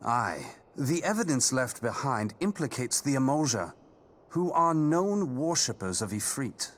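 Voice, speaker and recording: Suave man with lively intonation. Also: medium pitch, unhurried. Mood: serious briefing. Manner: gallant, eloquent. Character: suave, courtly male adventurer and informant. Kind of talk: video game cutscene acting.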